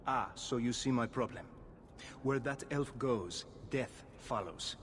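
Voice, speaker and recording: A man's voice: mid-range voice, slow pace, fairly bright tone, slight background noise. Suave, courtly foreign nobleman. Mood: grave, persuasive. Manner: courtly, theatrical. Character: suave, flowery Antivan nobleman hunting an assassin. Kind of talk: video game cutscene acting.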